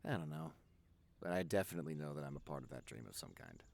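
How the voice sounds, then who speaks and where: A man's voice: rumbling low, sing-song, fast, vocal fry, heavily acted. A man playing a doting, teasing young boyfriend in an intimate audio roleplay, rambling affectionately and stammering a little. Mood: sleepy, fond.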